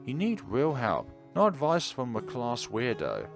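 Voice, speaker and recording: A man's voice: medium pitch, huge pitch swings, measured pace, creaky, voice pushed up, exaggerated voice. A man voicing a suspicious, exasperated teenage sister in a visual novel, with an emphatic, scolding delivery. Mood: indignant scolding. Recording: music behind the voice.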